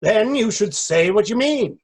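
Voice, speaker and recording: A man's voice: high-pitched, expressive, unhurried, slightly rough, exaggerated voice. A man acting a rude, contrary hare in a readers theater sketch. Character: rude, contrary March Hare at tea party.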